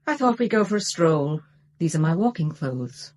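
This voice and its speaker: An actress playing a bold, self-assured young adventuress in a radio drama, with a playful, provocative manner. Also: female voice, low voice, sing-song, normal speed, a little mellow. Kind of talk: British radio drama acting.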